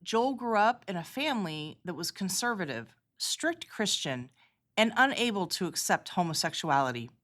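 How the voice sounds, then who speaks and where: A woman hosting a podcast with measured, articulate scripted narration and interview questions. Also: mid-range voice, sing-song, fairly bright tone. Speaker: podcast host, healthcare executive.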